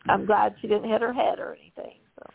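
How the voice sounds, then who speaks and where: A woman's voice: mid-range voice, steady tone, fairly quick, no echo. A woman hosting a casual call-in radio chat, losing her voice, chatty and laughing often. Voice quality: gravelly, husky. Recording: tinny dry phone line.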